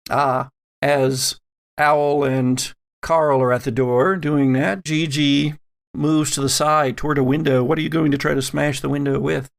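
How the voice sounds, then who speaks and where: A man narrating a tabletop horror game as game master, with deliberate, dramatic phrasing and pauses. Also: a little high, fairly even intonation, creaky.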